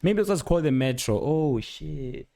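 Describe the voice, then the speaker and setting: A young man giving a casual, unscripted music production tutorial, rambling playfully in slangy speech with excited interjections. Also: fairly high pitch, normal speed, gravelly, no echo, studio quality. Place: indoor home studio at a computer. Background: loud instrumental beat and instrument sounds playing.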